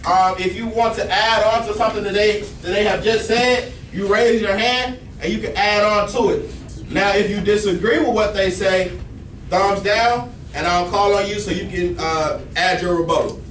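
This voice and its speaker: A man's voice: sits high, fairly even intonation, normal speed, a bit bright. A male teacher giving directions to a class, often checking in with "all right?". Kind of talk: classroom instruction. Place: large classroom with students. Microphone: far camera at back of room. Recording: echoey classroom from far off, noisy.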